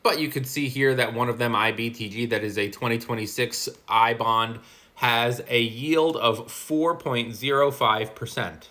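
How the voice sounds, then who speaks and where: A man's voice: mid-range voice, steady tone, a little slow, bright, forceful tone. A man in his forties hosting a casual finance explainer, chatty with offhand asides. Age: 40s. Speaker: finance video host. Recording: roomy echo.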